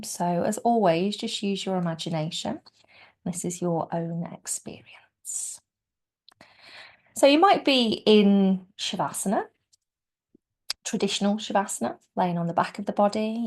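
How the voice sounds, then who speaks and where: A woman leading a guided yoga nidra meditation in a soft, soothing, unhurried way, often trailing off into a breathy near-whisper. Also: medium pitch. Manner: soft, soothing, unhurried delivery with gentle pauses.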